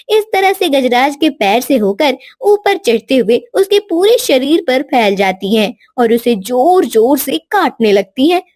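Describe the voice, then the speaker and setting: A woman's voice: sits high, darkish tone, dry recording. A woman giving scripted narration for a children's moral story.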